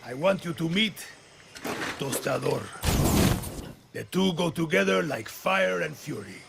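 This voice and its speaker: A man's voice: a little high, animated, a little slow, gravelly, very noisy, exaggerated voice. A man voicing an eccentric, cocky older guerrilla weapons tinkerer in a video game, with a Latin American accent and playful, theatrical swearing. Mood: gleeful showmanship. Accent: Latin American Spanish.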